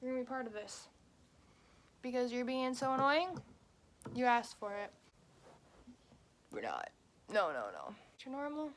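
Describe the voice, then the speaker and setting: A young woman in her twenties tells a story to her camera, talking quickly with plenty of "like" and "you know". Her voice sits a little high and it stays casual and chatty. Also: very animated, a little hiss or hum. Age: 20s. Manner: chatty, quick, many fillers. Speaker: vlogger. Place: at home.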